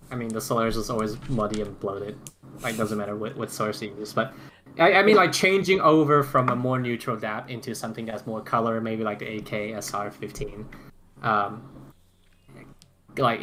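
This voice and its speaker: Bright-voiced man in casual discussion. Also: mid-range voice, slight background noise. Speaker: podcast roundtable panelist. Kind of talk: casual roundtable chat.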